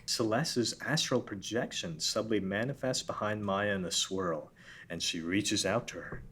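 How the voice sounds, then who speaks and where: A man's voice: on the low side, moderate pace, bright, forceful tone, breathy edge. A man narrating stage directions at a casual table read, reading steadily.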